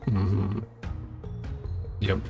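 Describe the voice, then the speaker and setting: A man's voice: low voice, level tone, drawn-out words. A man reading game dialogue aloud with casual, mumbled commentary, as a streamer would. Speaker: streamer playing and reacting to a game. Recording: game music behind the voice. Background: game music.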